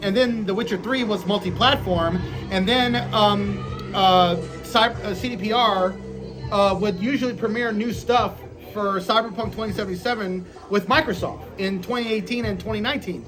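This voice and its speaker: Brief: blunt, cursing gaming co-host with a fairly high voice, music running behind. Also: male voice, moderate pace, rough recording. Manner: opinionated, swears, repeats points. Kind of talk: casual gaming chat.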